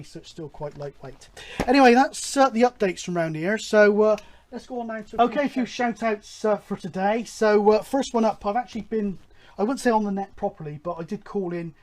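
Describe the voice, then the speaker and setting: A man presenting a hobby show, talking casually and in a halting, rambling, informal way to viewers. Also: high-pitched, darkish tone, a little hiss or hum. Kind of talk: casual update and announcements to viewers.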